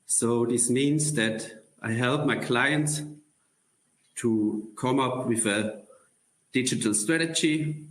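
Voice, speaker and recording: A man presenting a corporate career webcast, halting with many pauses and throat clears. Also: mid-range voice, roomy sound, low-quality recording.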